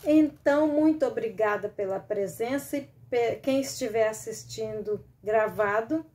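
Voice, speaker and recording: A woman's voice: on the high side, a little slow, darkish tone. A middle-aged Brazilian woman hosting a casual sewing live stream from a small room at home, chatty and rambling with many restarts, talking into a close webcam. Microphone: webcam or laptop camera close up.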